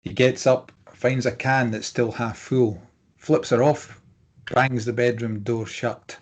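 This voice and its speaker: A man narrates stage directions for a script table read. His voice is husky and somewhat rough, sitting at a middle pitch. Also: fairly even intonation, slow pace.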